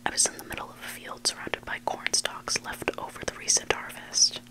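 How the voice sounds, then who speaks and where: An ASMR host whispering scary stories in a hushed, unvoiced voice, close to the microphone. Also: brisk, low-quality recording. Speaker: ASMR host reading listener-submitted stories. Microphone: close microphone made for headphone listening.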